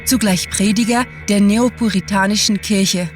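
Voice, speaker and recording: A woman's voice: mid-range voice, fairly even intonation, normal speed. A woman narrator reading a scripted story as a storyteller. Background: music.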